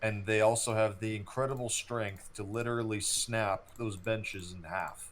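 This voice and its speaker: A man's voice: on the low side, steady tone, normal speed. A thirty-year-old man co-hosting a gaming show, reminiscing and explaining at length in casual gameplay commentary.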